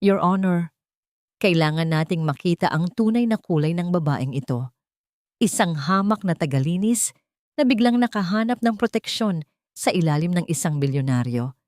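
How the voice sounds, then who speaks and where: A woman voicing a hostile male lawyer in an audio drama, forceful, formal and full of accusing insinuation. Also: lowish voice, expressive, normal speed, no echo, clean studio sound, close to an everyday voice. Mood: scornful, accusing. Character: hostile male defense lawyer, sneering, accusatory courtroom attacker.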